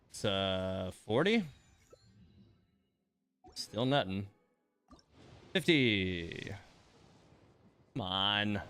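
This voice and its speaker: A man's voice: medium pitch, clear, resonant tone. A man chatting casually on a gaming livestream in a grumbling, exasperated, self-deprecating way. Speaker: live streamer and host of a gaming channel. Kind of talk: casual livestream chat while playing a gacha game. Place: indoors at home computer.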